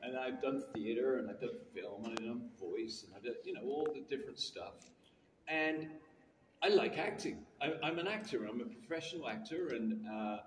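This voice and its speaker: A man in his sixties with a British accent and a trace of Canadian, chatting on a convention panel as a self-mocking storyteller. His voice rises and falls a great deal as he talks. The sound is distant and roomy, with a long echo and clear background noise, as if picked up from far back in a hall. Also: mid-range voice, expressive, moderate pace. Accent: British with a bit of Canadian. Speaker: guest actor on a convention panel.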